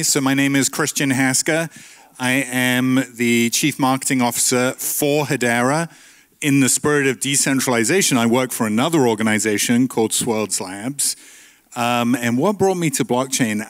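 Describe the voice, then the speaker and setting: A man speaking as a panelist at a conference, at a middling pitch with a dry, close sound. He talks in an easy, self-deprecating way and throws in light jokes. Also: a bit bright, no echo. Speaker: panelist, marketing executive. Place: conference stage.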